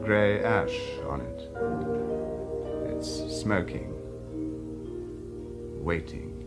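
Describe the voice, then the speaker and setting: A man narrating an audio drama in a deliberate, expressive, dramatic storytelling style. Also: sits low. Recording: loud music under the voice. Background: music.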